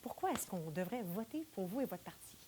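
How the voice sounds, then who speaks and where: Woman, debate moderator, brisk and businesslike, mid-pitched voice. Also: big pitch swings, mellow, slight background noise. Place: TV studio.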